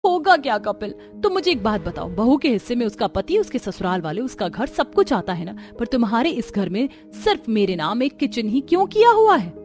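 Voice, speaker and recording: A woman's voice: a little high, sing-song, brisk, few pauses, darkish tone, soft background music. A woman voicing a resentful, complaining young daughter-in-law in a cartoon, with a sarcastic, dramatic, nagging manner. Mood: angry.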